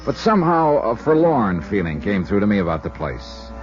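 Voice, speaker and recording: An actor playing a suave, wry American insurance investigator in 1950s radio drama style, clipped and hardboiled. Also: male voice, lowish voice, very animated, normal speed. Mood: brisk narration. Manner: clipped hardboiled first-person narration and questioning. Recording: dull vintage radio recording with music.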